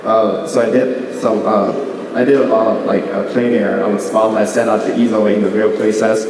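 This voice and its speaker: A man's voice: lowish voice, flat delivery, moderate pace, darkish tone, a little hiss or hum. A man, giving an artist talk with slides and speaking hesitantly with many fillers and restarts. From Beijing, China. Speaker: visiting artist. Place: art gallery hall. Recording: echoey gallery hall from far off.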